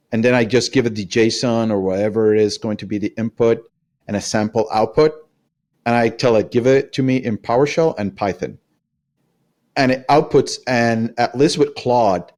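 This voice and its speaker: A man's voice: on the low side, darkish tone. A man who is not a native English speaker, a guest on a podcast panel, explaining how he works in a direct, matter-of-fact way. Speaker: podcast guest, security practitioner. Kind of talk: casual panel chat.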